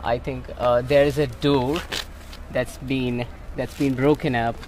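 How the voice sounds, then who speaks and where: A man guiding a friend around a ruined fort, speaking haltingly with many restarts. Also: mid-range voice, flat delivery, a little mellow, soft background music, rough recording. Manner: halting, repeats and restarts words. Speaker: local friend and guide.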